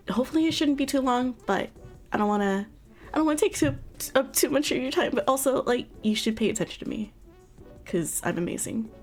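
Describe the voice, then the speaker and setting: A woman's voice: on the high side, darkish tone. A young woman chatting casually on a livestream, giggling often and rambling through restarts. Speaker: streamer. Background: faint background music.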